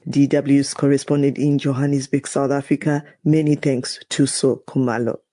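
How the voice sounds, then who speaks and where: A man's voice: mid-range voice, flat delivery, moderate pace, warm tone, no echo, studio quality, soft background music. A man hosting a news podcast, reading a scripted introduction.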